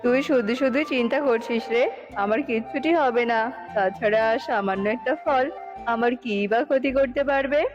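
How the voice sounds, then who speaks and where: A woman's voice: on the high side, moderate pace, lo-fi sound, music in the background, lightly acted. A woman voicing an emphatic father bird, a stubborn king in a cartoon fairy tale. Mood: cheerful, dismissive.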